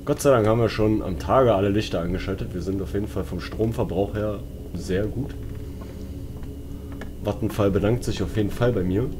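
A man with a fairly low voice commentates on a horror game. He chats casually and colloquially, joking with himself and his viewers. There is clear noise and game ambience behind him. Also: normal speed. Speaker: let's player.

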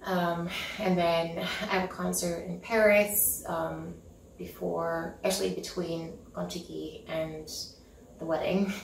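A Norwegian woman in her thirties talks casually about her plans, full of ums, restarts and little laughs. Her voice sits low with a gravelly edge. She is picked up from across an echoey living room, with a faint hiss underneath. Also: little pitch movement, takes it slowly. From Norway. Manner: chatty, giggly, lots of ums and restarts. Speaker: interview guest, concert pianist. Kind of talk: casual interview chat. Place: home living room with piano, indoors. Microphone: far camera mic. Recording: echoey room, mic at a distance.

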